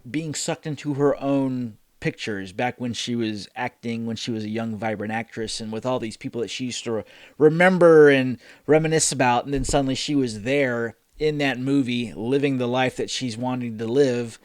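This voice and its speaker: A man's voice: mid-range voice, normal speed, dry recording, studio quality. A man hosting a reaction show, talking casually and thinking aloud as he gives rambling commentary.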